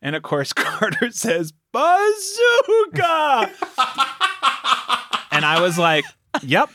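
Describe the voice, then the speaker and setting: A man's voice: extremely high pitch, wildly swooping pitch, slow, bright, forceful tone, a little hiss or hum. A man speaking as a producer and game master, telling stories casually as an interview guest. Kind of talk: casual animated storytelling.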